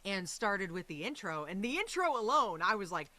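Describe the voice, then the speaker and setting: A woman's voice: medium pitch, sing-song, normal speed, over a noisy crowd. A woman talking casually as an interview guest on a podcast, effusive and chatty, with slangy quoted asides.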